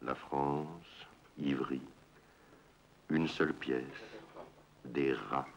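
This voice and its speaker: A man's voice: extremely low pitch, fairly even intonation, drawn-out words, vocal fry. A man reading a scripted documentary narration in terse, clipped phrases. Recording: dull vintage broadcast sound.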